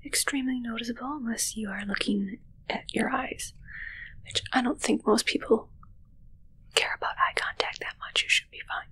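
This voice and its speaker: A woman playing a haughty, fussy witch in a whispered ASMR roleplay, murmuring slowly and condescendingly close to the mic. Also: fairly high pitch, bright, forceful tone, exaggerated voice, rough recording. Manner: breathy, slow, condescending murmur. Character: haughty, fussy female witch tending her undead familiar. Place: small dark indoor room with curtains. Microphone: close ASMR microphone.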